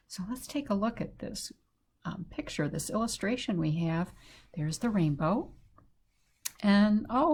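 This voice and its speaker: A woman hosting a children's story time, reading and speaking in simple, deliberate phrases for young listeners. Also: lowish voice, vocal fry, darkish tone, a little hiss or hum.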